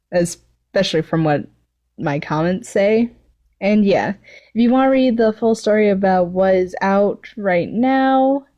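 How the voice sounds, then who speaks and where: A woman's voice: medium pitch, dark tone, muffled recording. A woman giving an amateur, self-deprecating story reading with casual chat, stumbling over words now and then. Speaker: fan fiction writer and reader, channel host.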